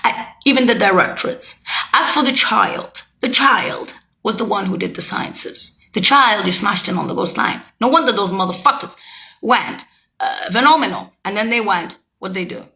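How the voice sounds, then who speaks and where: A woman's voice: mid-range voice, animated, normal speed, creaky, sharp tone, low-quality recording. A woman speaking a rambling, heated monologue, swearing and accusing as she jumps from thought to thought. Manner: loose, jumping from thought to thought.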